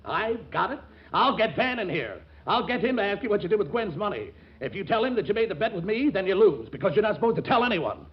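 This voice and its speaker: A scheming, impatient father of middle age in a 1940s radio comedy. He is excitable and badgering, his high voice swinging up and down on a dull old recording. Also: fast talker. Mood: gloating.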